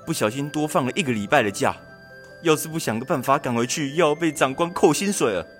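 A man's voice: a little high, quick pace, lightly acted. A young man playing an anxious, cheeky, lazy government clerk from ancient China in an amateur radio drama, exclaiming and pleading. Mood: anxious muttering. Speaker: student voice actor in a radio drama. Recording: music under the voice.

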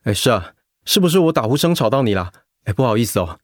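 A man acting an animated middle-aged ex-marine. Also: mid-range voice, fast, no room sound, close to an everyday voice. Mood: friendly, sheepish. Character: middle-aged ex-marine cancer patient, blunt, sociable, newly diagnosed. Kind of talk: Mandarin radio drama acting.

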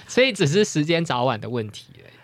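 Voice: medium pitch, big pitch swings, a little fast, no room sound. 台灣腔主持人，語調起伏大. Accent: Taiwanese Mandarin. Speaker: podcast co-host. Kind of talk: casual, opinionated chat.

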